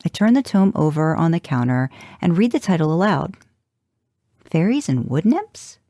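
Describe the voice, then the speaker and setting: A woman reading a first-person story aloud in an expressive, wry and confiding way. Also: deep voice, normal speed, dry recording, clean studio sound. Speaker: podcast host reading fan fiction.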